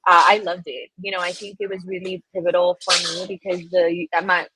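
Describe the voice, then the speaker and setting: A woman from Colombia speaking as a guest in a casual interview, chatty and animated, laughing often and using lots of fillers. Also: on the low side, brisk, slight background noise, rough recording.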